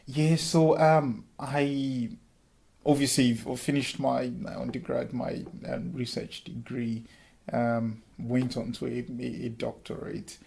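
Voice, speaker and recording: Give me an earnest Nigerian man in his thirties, with a slightly husky voice. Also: medium pitch, flat delivery, slow pace, a little mellow. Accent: Nigerian with a light Scottish touch. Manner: earnest, many ums and restarts. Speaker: interviewee, lecturer and former councillor. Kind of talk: reflective interview answers.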